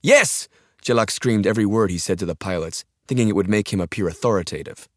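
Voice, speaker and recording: Deep-voiced male thriller audiobook narrator. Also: fast, no room sound, studio quality. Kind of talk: dramatic scripted thriller narration. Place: dry studio, close mic.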